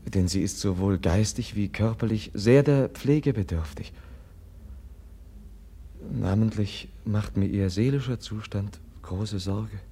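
An actor playing a gentle, compassionate young man in a 1950s German radio drama, speaking earnestly and softly, as if pleading. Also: on the low side, slow pace, breathy edge. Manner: earnest, soft-spoken and pleading.

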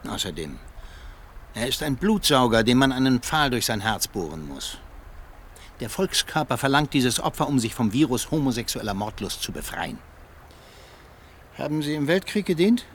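Old field marshal, curt, contemptuous, lively intonation, radio drama, faint noise. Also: male voice, mid-range voice, normal speed, pauses often. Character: haughty, hostile old male field marshal. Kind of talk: German radio drama dialogue.

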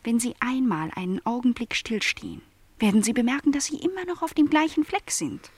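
A lonely young housemaid in a literary radio play. Her voice sits a little high and is recorded dry and close. She speaks with earnest insistence, wistful yet stubbornly hopeful. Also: animated, fairly quick, breathy edge, no echo. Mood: insistent, stubborn.

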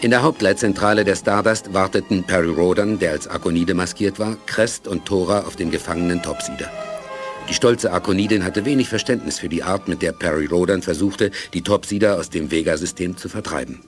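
A man's voice: low-pitched, fairly quick, natural voice. A man delivering scripted narration for a radio drama. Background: music.

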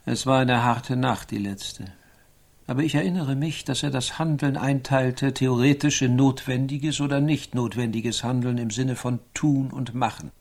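A learned medieval scribe, played by a man, pedantic and formal. He speaks precisely at a medium pitch, in radio drama style. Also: steady tone, normal speed, a little mellow, natural voice. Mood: deferential, learned. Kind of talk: German radio drama acting.

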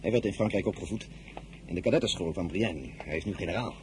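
A man's voice: sits low, fast, noisy background, natural voice. A man playing a polite, officious young government clerk in a radio drama, speaking formally and gallantly. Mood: friendly, courteous. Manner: formal, courteous.

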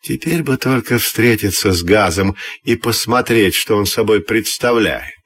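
Talking firewood for a fairy tale, male actor: envious, sly, mocking schemer with a husky edge. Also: on the low side, animated, unhurried, a bit bright, no room sound, studio quality, exaggerated voice. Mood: scheming. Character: spiteful, envious aspen firewood logs, scheming.

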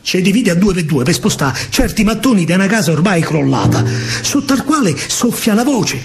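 A man with a Roman accent telling a story in dramatic dialect verse, swinging between hushed and loud. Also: sits high, very animated, brisk. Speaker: stage storyteller, actor. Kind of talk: theatrical verse monologue in dialect. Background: faint live music.

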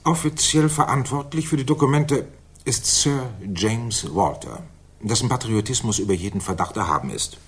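An actor in a 1960s German radio drama playing a self-satisfied, authoritative senior government official who speaks formally and deliberately. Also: male voice, mid-range voice, expressive, husky. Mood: matter-of-fact.

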